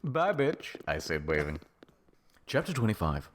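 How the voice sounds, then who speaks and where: A man's voice: fairly low pitch, big pitch swings, unhurried, a little hiss or hum. A man reading fan fiction mock-seriously as a melodramatic, sulky, foul-mouthed teenage goth girl narrator.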